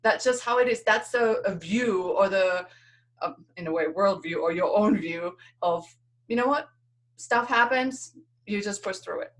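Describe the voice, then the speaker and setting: A woman from Finland whose first language is Finnish speaks at a medium pitch. She talks as a guest on a podcast, telling stories reflectively and conversationally. Her manner is earnest, with light laughter through it. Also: unhurried.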